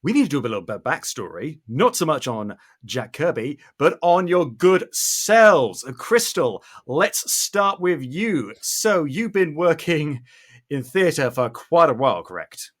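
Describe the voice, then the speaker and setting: A man's voice: fairly high pitch, very animated, a little slow, fairly bright tone. A man hosting a podcast interview in a conversational, somewhat rambling way.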